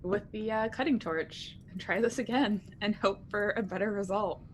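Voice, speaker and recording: Mid-pitched woman, casual tabletop player. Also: normal speed, a little hiss or hum, poor audio. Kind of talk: casual game chat.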